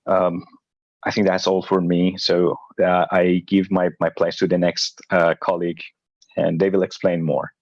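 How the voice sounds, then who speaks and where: A very low-pitched man explains carefully on a video call, pausing with many fillers. His dry, dull-sounding audio has no room echo. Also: monotone, darkish tone. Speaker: researcher on a panel.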